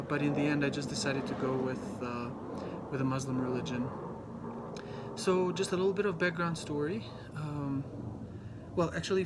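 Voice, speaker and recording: A young man from Albania giving a class presentation, halting often with ums and restarts. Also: a little high, very noisy. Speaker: student presenter.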